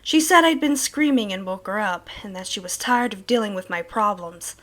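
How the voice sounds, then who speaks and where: A woman playing a troubled thirty-one-year-old, reading her sleep journal as grave, deliberate first-person horror narration. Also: mid-range voice, fairly bright tone, natural voice. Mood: grave, uneasy.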